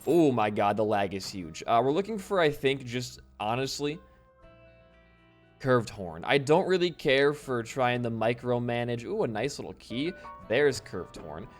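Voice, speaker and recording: A laid-back young man from Wisconsin, a bit hoarse after losing his voice, rambling casually while commenting on his gameplay. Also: medium pitch, lively intonation, measured pace. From Wisconsin, USA. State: slightly hoarse from voice loss. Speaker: gaming channel host. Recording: faint game music behind.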